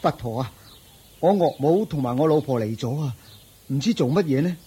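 An actor playing a poor, hapless husband in a radio drama, meek, plaintive and stammering in Hong Kong Cantonese. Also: male voice, medium pitch, expressive, normal speed, mellow, slight background noise, natural voice.

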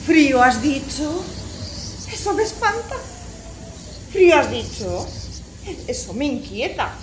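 High-voiced, lovestruck young noblewoman. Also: sing-song, takes it slowly, slight background noise, exaggerated voice. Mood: fretful, tender. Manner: gushing, theatrical, declamatory. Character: lovestruck, melodramatic young noblewoman, count's daughter. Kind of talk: comic verse radio theatre. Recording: roomy echo.

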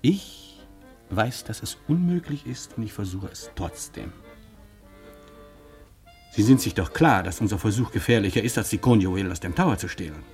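A man's voice: lowish voice, lively intonation, normal speed, darkish tone, faint music behind. An actor playing a wry undercover detective in his thirties who poses as an old professor, speaking in a pointed, formally polite, theatrical way in a German radio play. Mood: grave, warning. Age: 30s.

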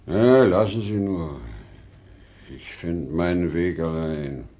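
An actor playing a bewildered, proud old salesman father, halting and dazed, in 1950s German radio drama style. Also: sits low, mellow. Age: older.

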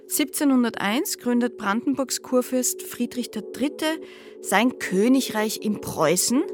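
An actress playing a reflective psychoanalyst in a radio drama, talking conversationally and asking herself questions. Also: female voice, fairly high pitch. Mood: reflective, wry. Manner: conversational, pondering, rhetorical questions. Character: reflective female psychoanalyst, musing wryly on history. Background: music.

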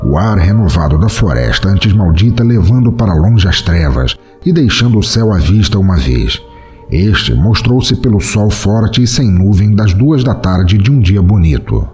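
A man narrating a scripted fantasy story as podcast host, with a dramatic, expressive delivery. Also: sits low, moderate pace, soft background music.

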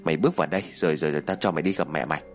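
A man voicing a surly, callous young uncle who is gruff and threatening. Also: a bass voice, racing, soft background music, pitched below the performer's own voice. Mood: taunting threat.

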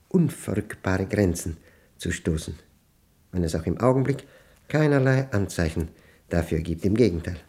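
An older, reclusive professor of mathematics in a radio play. His voice is fairly low and full of creak, with wide swings in intonation. He speaks deliberately and pedantically, proud and uneasy, halting between precisely formed phrases. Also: mellow, exaggerated voice. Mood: fascinated, uneasy. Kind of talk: German radio play monologue.

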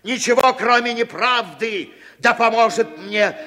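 A man's voice: extremely high pitch, measured pace, sharp tone, full character voice. A man acting a cowardly, hard-drinking playwright in a 1960s Soviet radio drama, theatrical, sarcastic and full of self-contempt. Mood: bitter, frenzied. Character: cowardly, bitter, hard-drinking male playwright turning informer. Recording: reverberant 1960s radio play recording.

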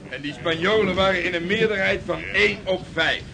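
A swaggering, boastful sailor in a radio drama. His voice is high for a man, set against the clear babble of a crowd. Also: a little fast. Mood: boastful, excited. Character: boastful sailor spinning a rousing sea-battle yarn.

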